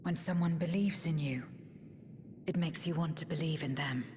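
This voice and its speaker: An actress playing a steadfast, earnest fantasy adventurer in a video game, speaking in a measured way. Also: female voice, sits low, a little mellow, a little hiss or hum, lightly acted. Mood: sincere. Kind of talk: scripted video game acting. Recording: game music behind.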